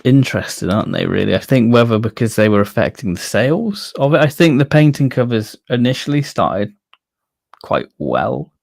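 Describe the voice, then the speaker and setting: Male co-host, expressive range with some fry, opinionated and informal fan chatter. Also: medium pitch, normal speed. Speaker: co-host of a fan discussion stream. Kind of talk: casual fan chat.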